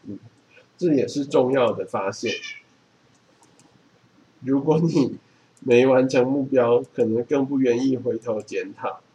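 A man's voice: medium pitch, unhurried, pauses often, dark tone, low-quality recording. A man, reading from a book and explaining it in a casual chat. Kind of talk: reading a book aloud and chatting about it.

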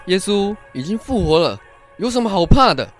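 A man acting an eager, joyful village disciple in a radio play. His fairly high voice moves up and down with theatrical liveliness, over soft background music. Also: big pitch swings, normal speed. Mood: eager, insistent. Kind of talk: radio Bible drama acting.

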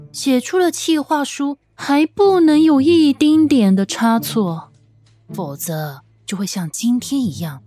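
A woman reading scripted fiction as an audiobook narrator, with an expressive, measured, dramatic storytelling delivery. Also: fairly high pitch. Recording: music under the voice. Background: background music.

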